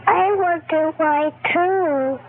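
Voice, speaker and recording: Very high, slow, earnest little girl. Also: soft background music. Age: child. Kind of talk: simple earnest answers in radio spot. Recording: noisy narrow vintage radio.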